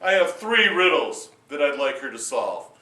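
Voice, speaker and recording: A man voicing a loud, jolly, demanding king who laughs heartily and drags out his threats, recorded in a roomy space from a distance. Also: a little high, sing-song, moderate pace. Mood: jovial. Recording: roomy echo, distant mic.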